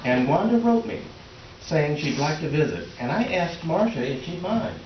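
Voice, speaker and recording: A man's voice: on the high side, big pitch swings. A man playing a mild, defensive middle-aged husband in a readers theater comedy, matter-of-fact and a little awkward. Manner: matter-of-fact, defensive, halting when flustered. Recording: roomy, distant, noisy, dull stage recording.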